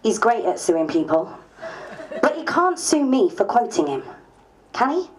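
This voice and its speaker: Woman acting a lively, higher-voiced, wry politician. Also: a little slow, low-quality recording. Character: outspoken, wry, principled female MP telling her diary. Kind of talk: dramatised diary, dialogue and narration.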